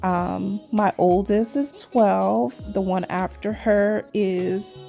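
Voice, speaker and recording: A woman's voice: medium pitch, fairly even intonation, slow, creaky, warm tone, faint music behind. Chatty Trinidadian-New York woman vlogger. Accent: Trinidadian mixed with New York. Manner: chatty, addresses viewers as cuties, says y'all. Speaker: nail vlogger. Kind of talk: casual chat while doing nails.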